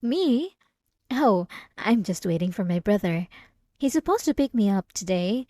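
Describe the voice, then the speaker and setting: A woman playing a sweet, devout, obsessive young church girl in an ASMR roleplay, speaking haltingly with apologetic, feigned innocence. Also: medium pitch, animated, warm tone, dry recording, studio quality, lightly acted. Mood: delighted. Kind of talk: ASMR roleplay drama, addressed to the listener.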